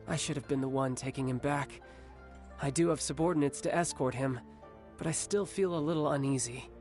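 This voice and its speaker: A man voicing a worn-out young warrior who talks in a soft, weary, wry way, for a video game. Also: mid-range voice, moderate pace, faint music behind, close to an everyday voice. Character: young male warrior, weary and weakened, confident.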